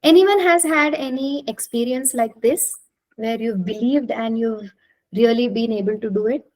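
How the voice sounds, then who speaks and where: A woman's voice: a little high, moderate pace. An Indian woman from Maharashtra, a native Marathi speaker, giving a conversational, encouraging online talk and inviting participants to respond. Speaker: speaker leading an interactive talk, clinical psychologist. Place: online video call.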